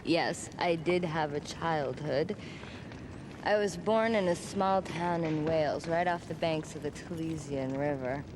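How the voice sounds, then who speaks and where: An actress playing a lonely, proud young woman with a teasing yet guarded manner in a game drama. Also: measured pace. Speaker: voice actor in a game cutscene. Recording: clear background noise or rumble.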